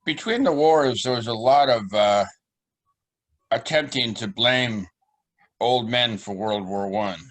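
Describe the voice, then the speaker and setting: A man's voice: medium pitch, takes it slowly, a bit bright. A man offering an unscripted comment as a participant in a discussion.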